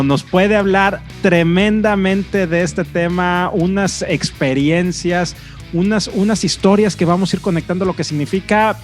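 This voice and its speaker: A man hosting an interview podcast, building his points step by step in a rhetorical way. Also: sits high. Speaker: podcast host.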